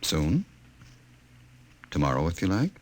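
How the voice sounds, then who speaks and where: Dystopian government director: very low voice, suave, measured, coldly commanding, old radio drama. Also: male voice, wildly swooping pitch, exaggerated voice. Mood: smooth authority. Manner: measured, authoritative. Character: smooth, coldly scheming male director of a dystopian state. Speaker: radio actor. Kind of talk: 1950s radio drama acting. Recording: old 1950s radio recording.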